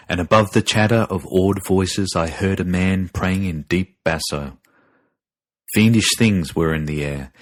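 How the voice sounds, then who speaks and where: Deep, grave male horror narrator. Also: no echo. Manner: grave, deliberate, ominous. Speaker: narrator and podcast host. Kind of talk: dramatic scripted horror story narration.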